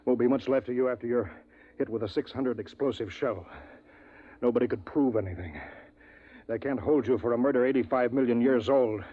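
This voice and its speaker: A husky-voiced young hunter, full of spite and wounded pride, promising revenge through his teeth, as an actor performs him. The sound comes thin and tinny, like a worn broadcast of a 1950s radio drama. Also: mid-range voice, brisk, natural voice. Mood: menacing. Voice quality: husky, sneering and threatening. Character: spiteful young male hunting client, cowardly, vengeful. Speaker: safari client.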